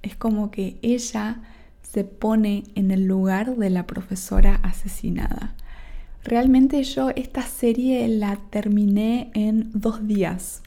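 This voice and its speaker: A woman's voice: medium pitch, fairly even intonation, warm tone. A woman from Argentina with an Argentine accent, hosting a Spanish lesson podcast for learners and checking in often with her listeners. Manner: slow and careful, checks in with "¿sí?". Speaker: Spanish teacher and podcast host.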